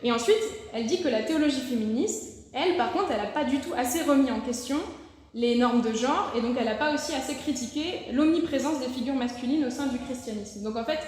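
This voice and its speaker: A woman's voice: sits high, brisk, darkish tone. Young woman lecturing in a reverberant hall. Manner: conversational, many fillers and restarts. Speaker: theology lecturer. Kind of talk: informal talk to a church audience. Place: church hall. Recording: very echoey hall, faint noise.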